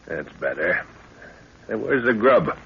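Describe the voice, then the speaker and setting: An actor playing an older, confident jungle explorer, hearty, brisk and fatherly, in a 1930s radio adventure drama. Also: on the low side, sing-song, gravelly, breathy edge, exaggerated voice. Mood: hearty, assured. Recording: dull, hissy 1930s radio recording.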